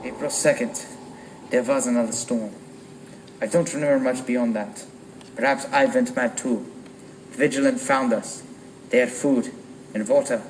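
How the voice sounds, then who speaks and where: A haunted sailor played by a man recounts his ordeal as the only survivor. His delivery is grave and comes in halting phrases, in the manner of a radio drama. He sounds far from the microphone, under heavy noise. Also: mid-range voice, steady tone, lightly acted. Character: haunted sailor, lone survivor writing his grim testimony. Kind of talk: dramatic first-person narration in a radio play. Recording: distant mic with loud background noise.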